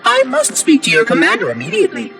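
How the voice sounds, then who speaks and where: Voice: very high-pitched, wildly swooping pitch, quick pace, creaky, full character voice. An actor voicing a prim, fussy ancient AI monitor in a video game, with pompous, precise diction and a synthetic edge. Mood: polite, brisk. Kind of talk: video game cutscene acting. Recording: music behind the voice.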